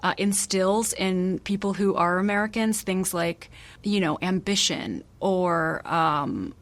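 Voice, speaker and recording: A woman in her thirties, an author born abroad, answering interview questions thoughtfully with many pauses and restarts. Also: fairly low pitch. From Malaysia. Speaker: author guest.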